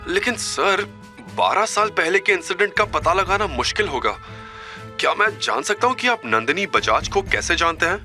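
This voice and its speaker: Man acting as flustered company director, fifties, breathless, eager, high-pitched, animated, audio story. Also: moderate pace, faint music behind, full character voice. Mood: flustered, awed. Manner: breathless, deferential to his boss, snappish with staff. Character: flustered eager fifty-year-old male company director.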